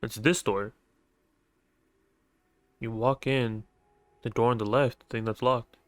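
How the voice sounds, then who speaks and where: A man's voice: mid-range voice, steady tone, takes it slowly, no room sound. A young man giving casual, slangy commentary as he plays a video game. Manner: slangy, informal. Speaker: gaming video creator. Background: game music.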